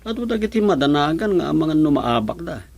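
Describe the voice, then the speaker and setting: A man's voice: a little high, sing-song, brisk, creaky, darkish tone, full character voice. A man with a Filipino accent acting as a friendly, confident village politician in a comedy radio drama, chummy and colloquial. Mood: encouraging.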